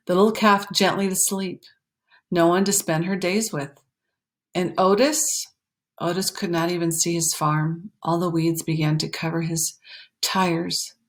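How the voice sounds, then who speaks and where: A woman reading a children's picture book aloud in an expressive, animated way, pausing for emphasis and talking to young listeners. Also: lowish voice. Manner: animated, explanatory, pausing for emphasis and dropping to hushed phrases. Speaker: storytime reader.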